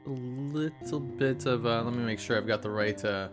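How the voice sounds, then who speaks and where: A man's voice: mid-range voice, normal speed, music in the background. A man with a nasal voice, groggy from just waking up, chatting casually and rambling with fillers as he streams a game. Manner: relaxed, rambling, many fillers. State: sleepy, just woken up. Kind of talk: casual live-stream gameplay commentary.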